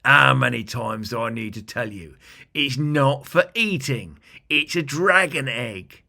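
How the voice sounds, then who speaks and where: A man's voice: mid-range voice, lively intonation, unhurried, gravelly, bright, forceful tone, full character voice. A man voicing a big, bossy, impatient goblin leader who scolds and gives orders in a storybook tale. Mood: exasperated. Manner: scolding, commanding.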